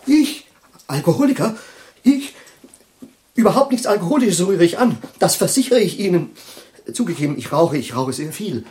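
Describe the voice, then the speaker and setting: Jittery, absent-minded professor. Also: male voice, high-pitched, sing-song, darkish tone. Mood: agitated, indignant. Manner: halting, groping for words, formally polite. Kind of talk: German radio play acting.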